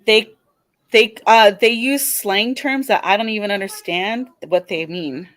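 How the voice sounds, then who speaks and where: A woman's voice: mid-range voice, unhurried, a bit bright, dry recording. A woman with a Californian accent, calling in to a live debate show, talking hesitantly with many restarts and fillers.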